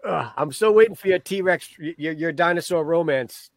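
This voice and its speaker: A man's voice: on the high side, moderate pace. A man co-hosting a book podcast in casual chat, a bit stuffy from a cold, rambling and wry. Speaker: podcast co-host.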